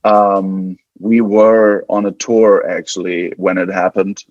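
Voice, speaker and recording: Low-voiced German man, dull dry recording. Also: level tone, slow, darkish tone, no echo. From West Germany. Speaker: podcast guest, band guitarist. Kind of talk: casual interview conversation.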